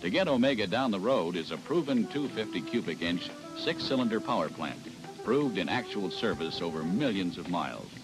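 A man's voice: deep voice, lively intonation, normal speed. An American man narrating a scripted 1970s promotional sales film in a polished announcer's delivery. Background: music.

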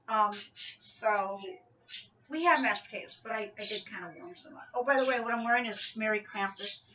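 Chatty middle-aged woman rambling in her kitchen, bright kinda sharp voice, sounds a bit far from the cam mic. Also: a little high, a little hiss or hum. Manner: chatty, rambling, self-correcting. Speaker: vlogger. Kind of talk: casual grocery haul vlog. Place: small home kitchen, indoors. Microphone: camera mic from a few feet away. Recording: distant, dull lo-fi camera audio.

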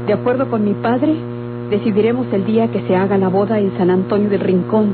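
An actress with a Mexican accent playing a determined, demanding young bride-to-be in a radio drama. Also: female voice, medium pitch, fairly even intonation, fairly quick, noisy background, close to an everyday voice. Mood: firm, demanding. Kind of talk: golden-age Mexican radio drama acting. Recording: tinny old radio recording, music behind.

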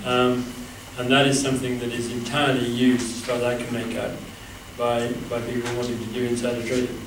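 An older man answering on a panel with measured, hesitant speech in a wood-panelled hall, heard through a handheld mic from a far camera. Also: medium pitch, flat delivery. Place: wood-panelled hall, large indoor room. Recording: echoey hall from far off, noisy.

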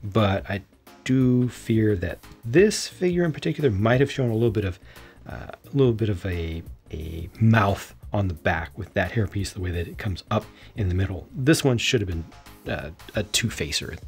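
A man in his thirties, unscripted, giving an opinionated toy review with lively gestures, recorded indoors in front of shelves. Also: fairly low pitch, moderate pace, husky, faint music behind. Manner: animated hand gestures, opinionated. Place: indoor room with storage shelves.

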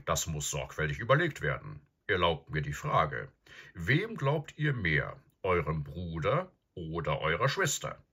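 A grave old professor acted out by a man, low and husky, calm and probing. He ponders each point with absent-minded hums, as in a storybook reading. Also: heavily acted. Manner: deliberate, pondering, with hums and murmurs. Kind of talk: audiobook character acting.

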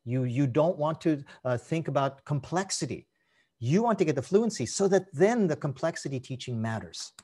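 A man in his fifties who grew up in Canada, giving an energetic, persuasive online conference talk about a teaching method over a webcam. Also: on the high side, normal speed, husky. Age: 50s. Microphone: webcam on a video call.

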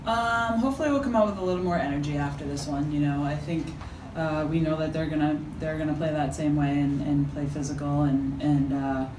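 A middle-aged woman coach speaks haltingly, restarting her sentences and filling pauses. She sits at a table mic some distance away in an echoey room. A steady noise hum sits beneath her. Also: low voice. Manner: halting, many fillers and restarts. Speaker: head coach. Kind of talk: postgame press conference answers. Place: press conference room with backdrop. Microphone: table mic from a few feet.

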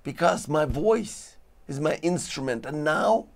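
Gravelly man declaiming on a talk show. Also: fairly high pitch, lively intonation, measured pace. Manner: dramatic, declaiming in lists. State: losing his voice. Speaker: show host. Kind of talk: rambling philosophical monologue. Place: studio with green-screen backdrop.

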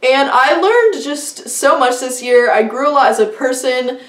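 A woman's voice: sits high, lively intonation, a little slow, roomy sound, far from the mic. A young woman talking to camera in a casual, upbeat vlog, chatty and full of fillers. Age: young adult. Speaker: vlogger. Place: small indoor room before a plain wall.

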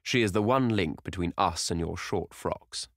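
A man's voice: low-pitched, moderate pace, vocal fry, a bit bright, no echo. A man doing a drawling, witty aristocrat. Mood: ironic. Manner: drawling, dry, ironic. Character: languid, witty aristocratic gentleman.

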